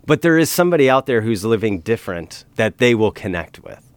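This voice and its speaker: A middle-aged man with a lowish voice speaks in relaxed podcast conversation. He is earnest and emphatic, leaning into his convictions. The sound is dry and close. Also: a little fast, smooth, dry recording.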